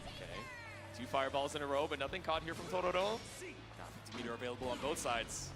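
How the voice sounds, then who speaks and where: A man calling a fighting game match in excitable, clipped play-by-play phrases. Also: mid-range voice, fast talker. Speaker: esports commentator. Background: music.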